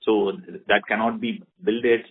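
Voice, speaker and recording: A man's voice: on the low side, steady tone, normal speed, slight background noise. A man with an Indian accent speaking as a company executive on an earnings call, over a narrow phone line. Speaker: company executive presenting results. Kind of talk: earnings call presentation and answers. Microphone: telephone conference call.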